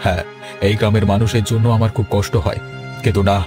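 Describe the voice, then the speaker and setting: A man playing a low-voiced kindly village elder. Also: level tone, fast talker, over music, lightly acted. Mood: troubled, sorrowful. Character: kindly, worried older male village landlord. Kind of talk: Bengali fairy-tale cartoon dialogue.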